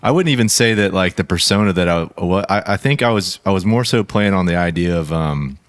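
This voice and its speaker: This man has a low voice and tells his stories loosely as a podcast guest. He keeps stopping to restart, and fillers pile up as he talks. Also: animated, fairly quick. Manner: rambling, many fillers and restarts. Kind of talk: casual chat.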